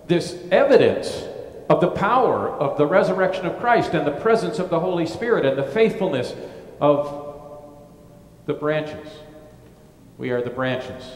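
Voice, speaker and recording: A middle-aged man preaches in a brick church, his voice pitched a touch high. He talks in an informal, rambling way with restarts and dry humor. The sound bounces off the walls, with a long, roomy reverb trailing each phrase. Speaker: pastor. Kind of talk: gospel reading and sermon. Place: brick-walled church sanctuary.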